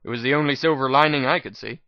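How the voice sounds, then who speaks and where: A man's voice: medium pitch, fast talker, no room sound. A man narrating a first-person story as a dramatic audiobook reading. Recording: slightly dull, dry lo-fi recording.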